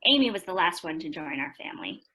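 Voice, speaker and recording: A woman's voice: low voice, very animated, quick pace, bright, forceful tone, husky, exaggerated voice. An actress as Jo, earnest woman author reminiscing. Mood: fond, reflective. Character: Jo, earnest woman author recalling her foster family. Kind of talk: radio play acting, reflective narration.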